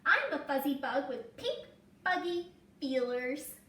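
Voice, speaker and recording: A woman voicing an excited, stubborn little girl from a storybook, exclaiming and at times sing-song. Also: sits high, slow pace, exaggerated voice. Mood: excited, playful. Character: excited, stubborn little girl who loves wild trees. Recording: roomy echo, distant mic, faint noise.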